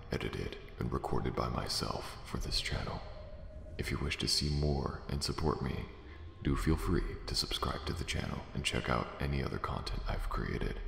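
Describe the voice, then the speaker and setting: A man with a deep, gravelly voice reading a scripted channel outro. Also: fairly bright tone, reverberant, big-room sound, slight background noise. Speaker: writer and voice actor of the channel.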